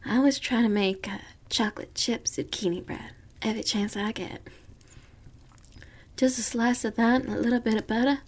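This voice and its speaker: A woman playing a sweet, comforting young farmer's daughter with a Southern US accent in a gentle, folksy ASMR roleplay. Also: a little high, steady tone, moderate pace, natural voice. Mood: cheerful, folksy. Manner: gentle, folksy, reassuring, giggly. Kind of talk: ASMR roleplay, intimate and slow.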